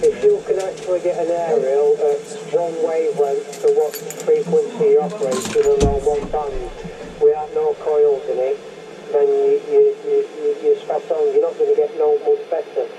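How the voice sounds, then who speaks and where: A man's voice: on the high side, flat delivery, moderate pace. An older man with a Northern English accent chats casually as a radio hobbyist, emphatic and rambling, often repeating himself. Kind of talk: casual chat over CB radio. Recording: muffled CB radio transmission with loud noise.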